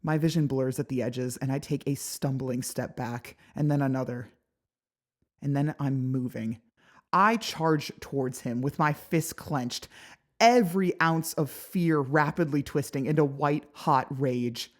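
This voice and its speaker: A woman narrating first-person fiction as a dramatic, expressive audiobook reader. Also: a bass voice, unhurried. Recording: background music behind the voice.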